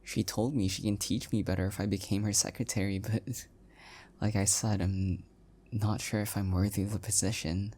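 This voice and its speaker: A man playing an adorkable, self-deprecating young husband in an intimate one-sided audio roleplay, speaking softly and hesitantly with affection. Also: on the low side, little pitch movement, normal speed. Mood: bashful, unsure.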